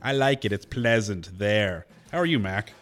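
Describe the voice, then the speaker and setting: A man hosting a radio show in casual, joking banter, his voice hoarse from a lingering cold. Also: on the low side, normal speed. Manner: wry, joking, lively. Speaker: radio host. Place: radio studio.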